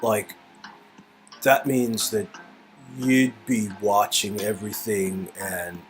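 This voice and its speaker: A man's voice: fairly low pitch, slow pace, soft background music. A man casually performing game dialogue in a hesitant, rambling, comic way, often repeating words. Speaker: performer reading game script.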